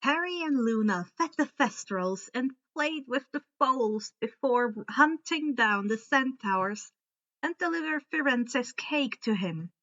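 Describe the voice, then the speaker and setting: Woman story reader, bright forward voice, expressive audiobook narration, slightly lo-fi recording. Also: a little high.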